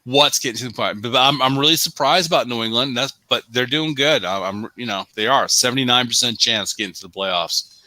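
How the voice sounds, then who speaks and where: A man's voice: mid-range voice, a little fast, bright, forceful tone. A man on a sports show panel chatting casually about football, often repeating himself for emphasis.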